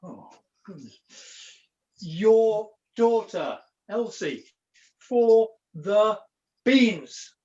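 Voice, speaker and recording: A woman's voice: mid-range voice, lively intonation, drawn-out words, dark tone. A woman playing a hapless, earnest young jester in a pantomime, theatrical and sheepish, on a video call. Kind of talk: pantomime acting. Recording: dull lo-fi video-call recording.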